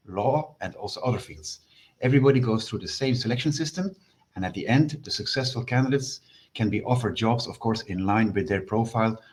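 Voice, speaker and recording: A man representing a staff selection office, giving a steady, explanatory talk on an online panel with some fillers. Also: lowish voice. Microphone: video call.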